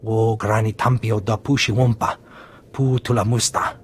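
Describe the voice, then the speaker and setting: A man voicing a blustering alien thug who speaks a guttural invented language in a video game, with a husky voice. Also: fairly low pitch, little pitch movement, normal speed, a little hiss or hum, natural voice. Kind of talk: video game character acting.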